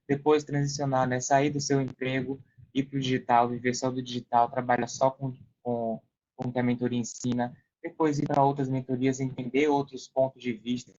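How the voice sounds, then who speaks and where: A man's voice: medium pitch, darkish tone, lo-fi sound. A young Brazilian man talking casually as an interview guest, explaining things and often tagging on questions. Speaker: podcast guest, online affiliate marketer working in support.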